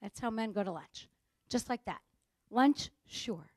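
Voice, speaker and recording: A woman with a Chicago accent giving a humorous conference talk on gender differences, telling stories and acting out dialogue with lively delivery. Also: mid-range voice, normal speed, dry recording, studio quality. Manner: lively storytelling with acted-out dialogue. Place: conference stage before an audience.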